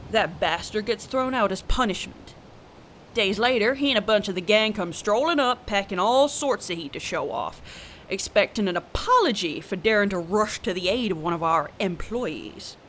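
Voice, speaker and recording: A woman's voice: medium pitch, animated, normal speed, fairly bright tone, a little hiss or hum, close to an everyday voice. A flirtatious bodyguard, voiced by a woman, chats in a breezy, teasing manner, with a voice that sits in the middle range. She tells her stories with casual swagger in an audio roleplay. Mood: storytelling. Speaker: side character in an audio roleplay.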